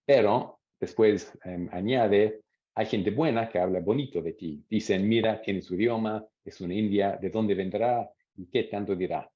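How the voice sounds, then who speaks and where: A man with a deep, dark-toned voice presents research at a seminar. He speaks in a measured way and reads out interview quotes. The audio is dull and lo-fi. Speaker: academic presenter.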